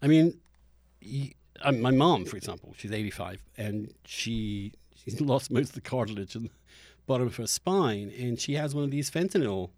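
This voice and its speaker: A man's voice: medium pitch, animated, normal speed, darkish tone, no echo, clean studio sound. A middle-aged man hosting a conversational podcast interview, giving opinions emphatically and often restarting his phrases. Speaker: podcast host.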